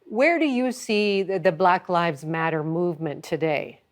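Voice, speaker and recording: A woman's voice: on the low side, unhurried. A woman hosting a broadcast interview, asking questions in a conversational way with frequent restarts. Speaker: radio interviewer.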